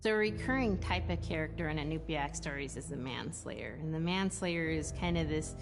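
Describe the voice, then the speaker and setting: Very low-pitched woman explaining over music. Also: normal speed, creaky. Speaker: cultural commentator, documentary interviewee. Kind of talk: explanatory documentary interview.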